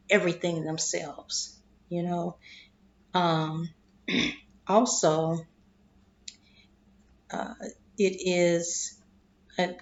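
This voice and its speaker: A woman with a lowish, gravelly voice talks very slowly through an explainer, her voice fading and hoarse. The recording sounds dull and lo-fi. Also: slight background noise. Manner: slow, deliberate, repeats "okay?" to check in. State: losing her voice, clearing throat. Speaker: investing vlogger. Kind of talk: casual explanatory monologue.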